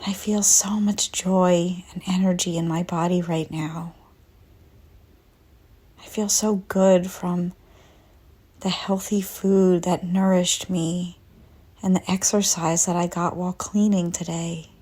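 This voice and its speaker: A woman narrating a guided visualization in a soft, breathy voice that often nears a whisper, calm and gentle with long pauses. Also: on the low side. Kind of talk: slow guided visualization, soothing scripted narration.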